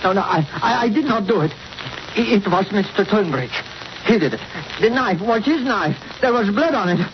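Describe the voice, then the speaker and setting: High, agitated, cornered accomplice. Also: male voice, lively intonation, normal speed. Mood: panicked. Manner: stammering, pleading, agitated. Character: nervous, cornered male servant and killer's accomplice. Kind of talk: 1940s radio acting. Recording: muffled, noisy old radio recording.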